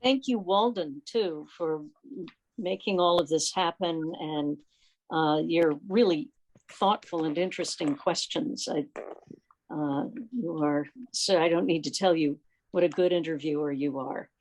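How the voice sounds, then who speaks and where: A woman with a slightly low voice hosts an online meeting. She speaks very slowly and off the cuff, effusive but pausing as she finds her phrasing. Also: slight background noise, rough recording. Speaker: meeting host. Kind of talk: unscripted club meeting talk on a video call. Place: remote video call.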